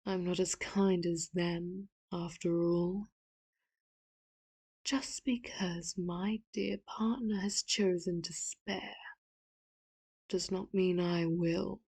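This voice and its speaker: A woman voicing a manipulative, doting villain in an ASMR audio roleplay, speaking slowly and softly with sudden sharp threats, close to the mic. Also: lowish voice, steady tone, breathy edge, dry recording, full character voice. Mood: grandstanding. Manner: slow, hushed, often whispered, turns sharp and loud for threats. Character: doting, manipulative villain, soft-spoken and menacing.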